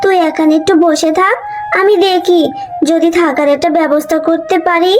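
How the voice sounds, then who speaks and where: High-voiced worried mother played by a woman. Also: steady tone, normal speed, a little hiss or hum. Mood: reassuring. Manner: soothing, pleading, earnest. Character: worried, tender, devout poor village animal mother. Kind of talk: Bengali cartoon fairy-tale dialogue.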